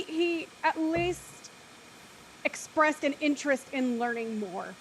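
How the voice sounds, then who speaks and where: A woman's voice: a little high, a little hiss or hum, low-quality recording. A woman in her thirties hosting a post-show discussion, speaking in a careful, wordy, articulate way. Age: 30s. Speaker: host and moderator.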